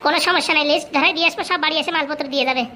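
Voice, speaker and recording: Woman doing cartoon sidekick guy, cunning n bossy, rural bengali accent, super high and crazy fast. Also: pushed and bright, a little hiss or hum. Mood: smug, cocky. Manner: brash, bossy, fast-talking. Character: cunning, boastful young male fixer, politician's assistant. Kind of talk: comic cartoon dialogue.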